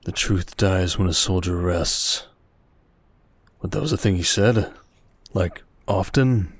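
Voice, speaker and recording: A man playing a stern, protective merchant lord in a roleplay audio drama, speaking in a dry, measured, commanding way. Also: deep voice, dry recording, heavily acted. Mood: intent, dry.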